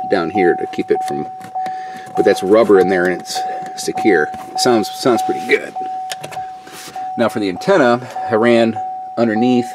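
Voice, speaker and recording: Clear background noise surrounds a middle-aged man talking into a handheld camera. His voice sits on the low side and moves up and down in a lively way. He explains things casually, with folksy, colloquial phrasing, like a hobbyist doing a hands-on walkthrough. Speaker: DIY vlogger. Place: in and around a pickup truck at a garage. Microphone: handheld camera mic. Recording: noisy handheld camcorder audio.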